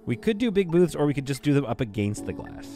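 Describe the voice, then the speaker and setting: A jokey Canadian man chatting through a game stream on a boom mic. His pitch leaps around a lot, and faint game music hums underneath. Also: quick pace. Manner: playful, jokey, lively, swings between loud bursts and quiet asides. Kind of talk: casual livestream chat while building in a game. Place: home room with a green screen. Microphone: studio mic on a boom arm.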